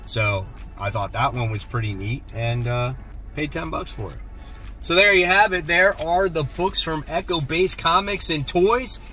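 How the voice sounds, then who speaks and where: A man in his forties hosting a casual vlog from a parked car, chatty and relaxed, filmed on a phone at arm's length. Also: a little high. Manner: relaxed, chatty, enthusiastic about his finds. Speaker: comic book channel host. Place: parked car, then outdoors and inside a shop. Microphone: phone camera at arm's length.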